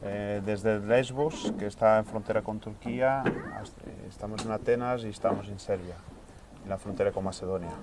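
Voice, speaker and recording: A man who coordinates a charity's refugee work answers interview questions earnestly, pausing often with "eh" and repeating words. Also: lowish voice, fairly even intonation, noisy background. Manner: earnest, searching for words with many hesitations.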